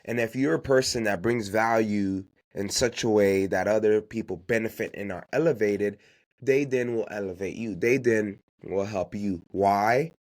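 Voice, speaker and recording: A man with a fairly low voice and Haitian Creole as his first language hosts a motivational business podcast. He talks off the cuff and emphatically, repeating his key phrases and throwing out rhetorical questions to drive points home. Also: moderate pace, a little mellow. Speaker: podcast host giving networking advice. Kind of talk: unscripted motivational business talk.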